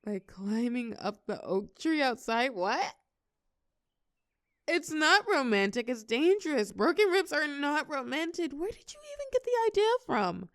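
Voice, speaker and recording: A woman's voice: sits high, big pitch swings, measured pace, dry recording, heavily acted. A young woman playing a shy, tender college girl confiding in a close friend, hesitant yet playful, in an intimate audio roleplay. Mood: playful, incredulous. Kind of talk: intimate scripted audio roleplay, one side of a conversation.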